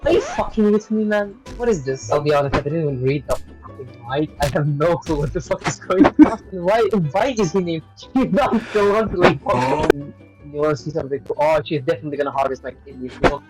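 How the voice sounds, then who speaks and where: A man chatting casually and swearing in disbelief as he playtests a game over a low-quality voice call. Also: lively intonation, a little fast. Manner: sweary, incredulous. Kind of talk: casual chat, reacting to a game. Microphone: online voice chat. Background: music.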